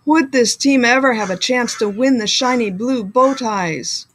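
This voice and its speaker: A woman reading a children's story aloud like a school librarian, with deliberate, expressive delivery. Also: a little high, slight background noise.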